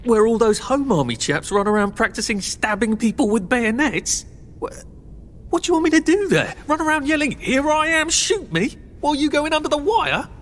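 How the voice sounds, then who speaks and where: A man's voice: very high-pitched, animated, brisk, a bit bright. An actor playing a polite, anxious young man with a British accent in a video game, hesitant and wry. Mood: wry, incredulous. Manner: hesitant, sardonic.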